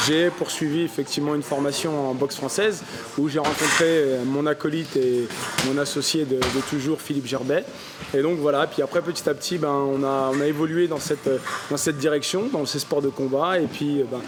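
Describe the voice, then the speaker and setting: A man's voice: on the high side, background noise. A man in his thirties from the Paris region, a Thai boxing club co-founder giving interview answers in an explanatory way, with many fillers and restarts. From Paris region, France. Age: 30s.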